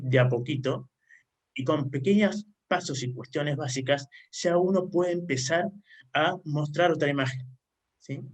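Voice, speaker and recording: A man from Argentina with an Argentine accent, speaking as a panelist in an online panel discussion. He explains his points at length and often checks in with a questioning "¿sí?". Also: medium pitch. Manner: frequent questioning "¿sí?" tags, explanatory. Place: remote online conference.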